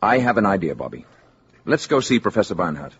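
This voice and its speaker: An actor playing a calm, courteous alien posing as an ordinary man in a 1950s radio drama, speaking in a measured, assured way. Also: deep voice, breathy edge, full character voice. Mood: earnest. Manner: polite, measured, quietly assured. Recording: dull, noisy 1950s radio recording.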